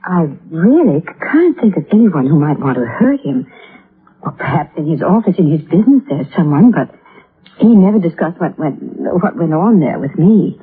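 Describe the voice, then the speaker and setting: Through a narrow, muffled vintage radio broadcast comes a middle-aged, well-to-do wife. Her voice is husky and veiled, and she answers with reserved, worried formality, hesitating as she goes. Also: on the low side, big pitch swings, normal speed, full character voice. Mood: guarded. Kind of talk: 1950s radio drama acting.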